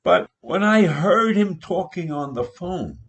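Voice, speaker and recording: An older man with an American accent giving a political opinion monologue, deliberate and emphatic, with dramatic pauses. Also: high-pitched, expressive. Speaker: political commentator.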